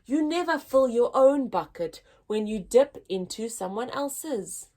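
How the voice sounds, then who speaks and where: A woman hosting a children's story time, reading a picture book aloud in an expressive, deliberate, teacher-like way for young listeners. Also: on the high side.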